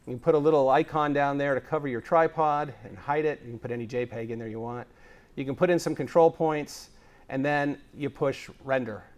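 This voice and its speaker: A man's voice: mid-range voice, a little fast. A man giving a conference presentation on video production, explaining with energy and frequent fillers. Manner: enthusiastic, explanatory, filler-heavy. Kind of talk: tech conference talk. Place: conference stage.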